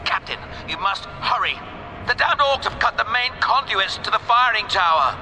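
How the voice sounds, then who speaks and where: An actor playing an imperious, scheming Inquisitor in a video game, formal and commanding, speaking in precise, curt phrases. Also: male voice, high-pitched, animated, normal speed, noisy background. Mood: urgent, commanding. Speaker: Inquisitor character. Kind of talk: video game cutscene acting.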